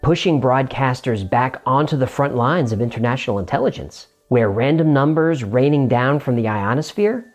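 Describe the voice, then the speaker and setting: A man's voice: mid-range voice, expressive, normal speed, few pauses. A man hosting a show and narrating in a scripted explainer style.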